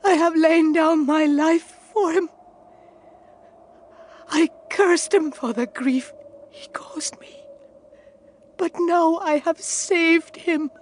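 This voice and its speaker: An actress playing a dying, penitent old nun in an audio drama, fervent and anguished. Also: female voice, extremely high pitch, fairly even intonation. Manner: slow, anguished delivery.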